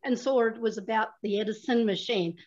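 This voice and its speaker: Older woman, excited grandmother type, muffled video-call sound. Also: a little high, fairly quick. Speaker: audience member. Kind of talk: casual comment on a video call. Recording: dull lo-fi call audio.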